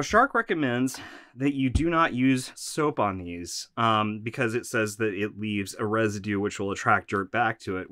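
A man's voice: on the low side, a bit bright, no echo, clean studio sound. A man, a little congested from allergies, hosting a practical vacuum maintenance tutorial from a shop workroom. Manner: practical, instructive, conversational.

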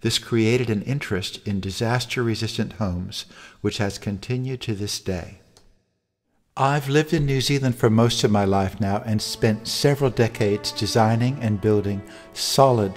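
An older man from Alaska, a home designer, narrating his project in a calm, measured, earnest way. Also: fairly low pitch, soft background music. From Alaska, United States. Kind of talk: scripted narration.

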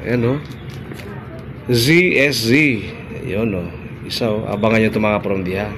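A middle-aged man with a Filipino accent talks in a fairly low voice. He chats casually as a vlogger doing an unboxing, often repeating short phrases. There is clear ambient noise in the recording. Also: unhurried, fairly bright tone. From Philippines. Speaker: barber vlogger. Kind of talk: casual unboxing vlog chat.

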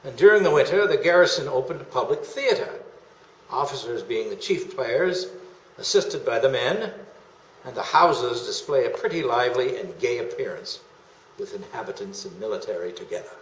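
Older male reader, medium pitch, dramatic reading of period documents, roomy echo. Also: expressive, normal speed, a bit bright, a little hiss or hum. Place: indoor meeting room. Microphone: camera a few feet away. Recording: echoey room.

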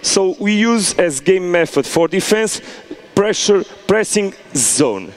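A man's voice: high-pitched, slow. A middle-aged man coaching players through a soccer drill in a large indoor hall, checking in with "okay?" as he goes. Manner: animated instructions with frequent "okay?" check-ins. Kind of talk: on-field coaching demonstration.

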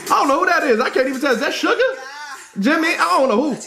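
A young American man hosting a reaction video, loud, energetic and profane, talking straight to his viewers in a small indoor room. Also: extremely high pitch, quick pace, gravelly, over music. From United States. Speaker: YouTube reaction host. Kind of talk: hyped reaction video talk.

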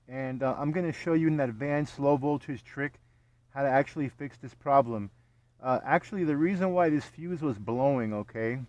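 A man working as an HVAC technician, explaining a repair step by step and checking in with "okay?" after each point, recorded on a body-worn camera in an attic and then in a backyard. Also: a little mellow. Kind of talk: hands-on repair tutorial. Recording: dull, dry, low-fidelity sound.

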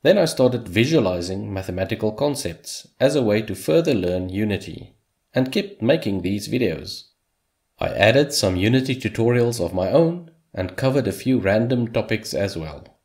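Low-voiced man narrating a dev recap. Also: expressive, normal speed, a little mellow. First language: Afrikaans. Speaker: hobby game developer, channel host. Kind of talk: scripted voice-over narration.

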